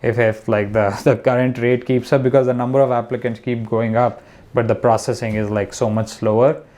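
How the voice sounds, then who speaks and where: A man's voice: medium pitch, little pitch movement, fairly quick, a little mellow. A young man from India, a comedian guest, talking casually with many restarts and fillers. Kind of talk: casual conversation.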